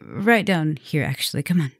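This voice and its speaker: A woman playing a flirtatious, softly dominant vampire professor in an ASMR roleplay, intimate and teasing, sometimes dropping to a whisper. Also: low-pitched, sing-song, moderate pace, noisy background. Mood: teasing, flirty. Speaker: roleplay audio performer.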